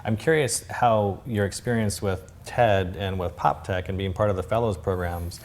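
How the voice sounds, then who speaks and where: A man hosting a casual interview, friendly and a bit halting, often repeating words. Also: deep voice, fairly even intonation, a little mellow.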